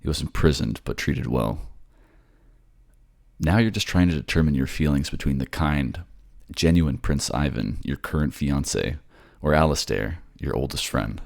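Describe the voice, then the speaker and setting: A man's voice: very deep voice, animated, moderate pace, gravelly. A man reading scripted second-person narration for a fantasy audio drama. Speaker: narrator and voice actor.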